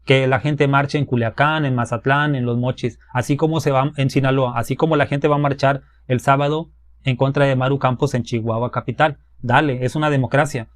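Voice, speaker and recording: A man's voice: medium pitch, steady tone, a little fast, no room sound. A man on a political debate panel, arguing in a blunt, accusatory, colloquial way. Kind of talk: political argument.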